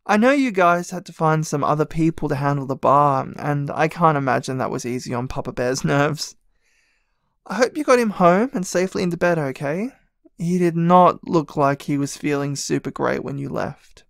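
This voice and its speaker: A man voicing a sleepy, tipsy young man who leaves a gentle, drowsy voicemail thanking a friend, in an audio drama. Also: a little high, animated, normal speed, gravelly, dry recording, clean studio sound.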